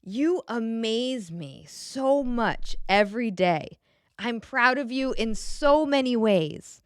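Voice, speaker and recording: A woman reading a children's picture book aloud in a gentle, expressive way, pausing to put questions to the young listener. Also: a little high, no room sound, clean studio sound. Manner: gentle, expressive, clearly enunciated storybook delivery.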